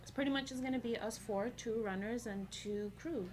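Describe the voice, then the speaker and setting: A woman's voice: fairly high pitch, moderate pace. A woman whose first language is not English, an ultrarunner guest chatting casually in an interview and filling her talk with "like". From New York. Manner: chatty, heavy use of "like", animated when quoting herself.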